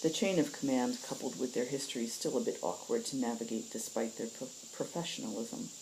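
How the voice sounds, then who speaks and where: A woman hosting a story reading, moving between casual talk and expressive narration, with insects behind her. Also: very deep voice, a little fast, slight background noise, low-quality recording. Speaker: host reading stories aloud.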